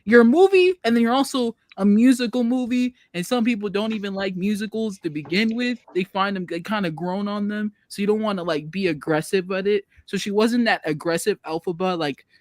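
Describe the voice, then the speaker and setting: A man's voice: high-pitched, a little fast, hardly any pauses, no echo. A man on a podcast panel sharing his take in a chatty, casual way. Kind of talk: casual chat.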